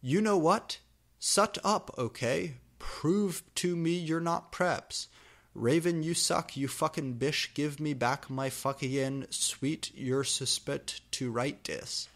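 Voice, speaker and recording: A man's voice: on the high side, slow pace, a bit bright, pitched above the performer's own voice. A man voicing a defensive, furious teenage fan fiction author ranting petulantly through author's notes.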